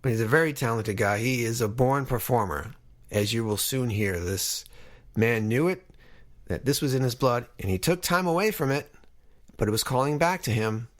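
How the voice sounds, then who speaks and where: Give this the voice of a male podcast host around fifty, from Long Island. He talks in an average male pitch. His delivery is that of a casual interviewer introducing and questioning guests. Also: normal speed. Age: about 50.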